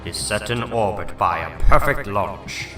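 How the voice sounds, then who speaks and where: A man playing a grave comic book narrator, reading scripted narration in a dramatic, deliberate, suspenseful way. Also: on the low side, animated, pushed and bright, reverberant, faint music behind, voice pushed down.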